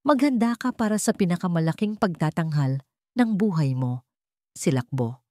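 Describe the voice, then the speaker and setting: A betrayed billionaire, acted by a woman narrator, coldly vengeful under a calm, measured surface. It is storybook melodrama in a lowish voice, recorded dry and close. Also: dry recording, lightly acted. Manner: controlled, measured.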